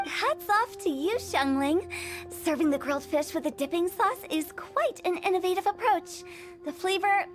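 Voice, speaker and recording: A woman's voice: extremely high pitch, moderate pace. An actress playing a playful, eccentric young female funeral parlour director in a game, chatty, teasing and theatrical. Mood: delighted, flattering. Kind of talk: animated game voice acting. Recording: clear music bed behind voice.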